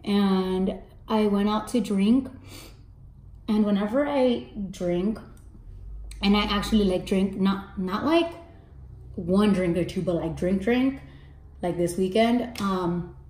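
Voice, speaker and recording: Young woman, mellow and a touch raspy, casual slangy beauty-vlog chat at home, echoey room. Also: slow, long pauses. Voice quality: slightly raspy, deep for a woman. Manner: chatty, slangy, candid asides to viewers. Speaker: makeup artist and beauty vlogger. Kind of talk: casual makeup tutorial. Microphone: camera in front, face framed close.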